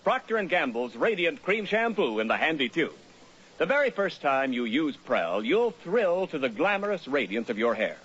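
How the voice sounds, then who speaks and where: A man's voice: fairly high pitch, sing-song, normal speed, a bit bright, slightly rough. An American man announcing a 1940s radio advertisement in a polished, emphatic sales delivery. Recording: muffled old radio broadcast.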